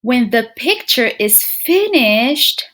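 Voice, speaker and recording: A woman with a fairly high, very animated voice reads a storybook aloud as a teacher would to small children. She takes her time, musing aloud and putting questions directly to her young listeners. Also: takes it slowly. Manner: expressive, addresses children directly with frequent questions and musing hums. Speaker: teacher reading a picture book aloud to young pupils.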